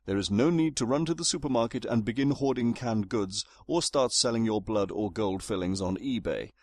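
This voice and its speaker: A man's voice: on the low side, moderate pace, a little mellow, close to an everyday voice. Droll, proper British narrator played by a man. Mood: mock grand. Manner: pompous, dry, wordy. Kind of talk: scripted comedic narration.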